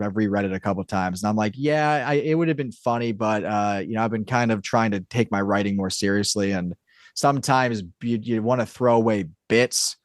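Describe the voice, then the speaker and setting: Low-voiced man chatting as podcast guest. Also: no room sound, studio quality. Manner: rambling, explanatory, self-interrupting. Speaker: podcast guest, audio drama creator and writer. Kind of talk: casual interview chat.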